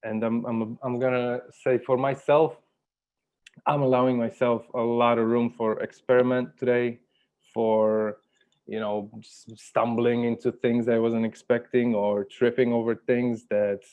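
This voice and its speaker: Low-voiced man hosting an online workshop. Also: level tone, a little mellow, clean tone, lo-fi sound. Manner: halting, encouraging. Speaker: workshop host. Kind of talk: informal online workshop facilitation. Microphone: video call microphone. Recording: video call with background music.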